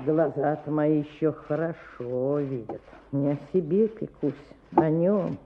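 A woman's voice: sits low, unhurried, slight background noise. Pious old village woman, muffled recording. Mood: confiding. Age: older. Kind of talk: Soviet radio play acting.

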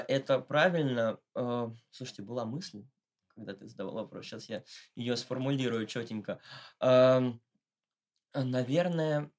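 A man giving a casual, slangy and ironic interview as a podcast guest. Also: mid-range voice. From Russia, Moscow. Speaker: interview guest, satirical blogger.

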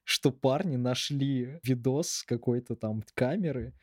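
A man's voice: medium pitch, measured pace, darkish tone, dry recording. Dry-sounding guy in casual chat. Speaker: podcast co-host.

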